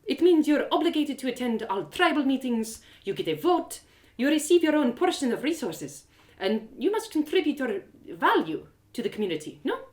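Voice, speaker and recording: A woman's voice: high voice, very animated, moderate pace, pitched above the performer's own voice. A woman voicing a frank, inquisitive former woman thief in an audio drama, talking in a chatty, knowing, probing way.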